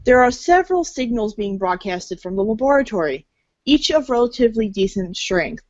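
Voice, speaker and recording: A woman with a fairly high, lively voice narrates in a read-along storybook style. The recording is close and dry, with a somewhat dull tone. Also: normal speed, no room sound. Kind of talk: storybook narration in a fan readers' theater. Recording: dull lo-fi audio.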